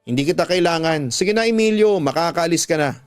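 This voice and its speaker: A man's voice: fairly high pitch, expressive, fairly quick, clean tone, no echo, studio quality, faint music behind, close to an everyday voice. A man voicing a betrayed wife in a radio drama, bitter, wounded and confrontational, with emphatic, accusing delivery. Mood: angry, wounded. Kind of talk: Tagalog radio drama acting.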